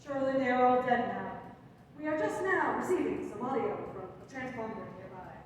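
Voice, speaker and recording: Woman acting grandiose female war correspondent, highish voice, solemn and florid, distant echoey hall. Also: animated, brisk, close to an everyday voice. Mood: grave, urgent. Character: earnest, grandiose female radio news anchor and war correspondent. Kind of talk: parody of old radio news bulletin. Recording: echoey hall from far off, noisy.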